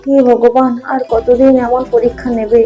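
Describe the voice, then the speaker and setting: A woman's voice: fairly high pitch, level tone, moderate pace, mellow, highs cut off, close to an everyday voice. A woman voicing a sorrowful, poor village mother in a cartoon, lamenting her fate in a mournful manner. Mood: grieving. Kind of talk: cartoon dubbing. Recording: music under the voice.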